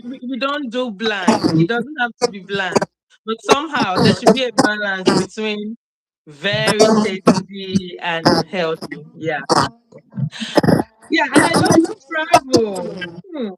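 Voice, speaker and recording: A woman's voice: a little high, lively intonation, unhurried, a loud crowd, low-quality recording. A young woman, chatting as an interview guest over a video call, laughing often and using many fillers. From Nigeria. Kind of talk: casual interview storytelling.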